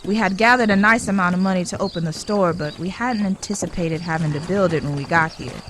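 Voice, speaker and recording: An actress playing a polite, playfully coy young working woman in an Old West audio drama, speaking in a genteel, measured way. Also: lowish voice, fairly bright tone, slight background noise, close to an everyday voice. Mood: earnest, matter-of-fact.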